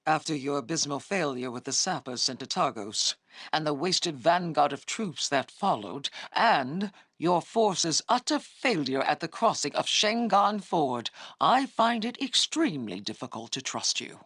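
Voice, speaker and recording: Husky, imperious half-dragon commander. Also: female voice, low-pitched, expressive, unhurried, fairly bright tone, dry recording, studio quality, full character voice. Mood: cold fury. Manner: imperious, scornful, formal. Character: proud, menacing half-dragon female army commander. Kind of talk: scripted fantasy video game acting.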